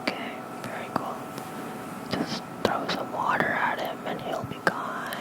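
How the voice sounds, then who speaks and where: Soft, slow ASMR whisperer. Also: low-quality recording. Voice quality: soft breathy unvoiced whisper. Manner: slow, gentle, unhurried. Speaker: ASMR creator reading lore. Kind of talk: ASMR whispered reading with casual asides. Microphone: close ASMR mic with fluffy cover.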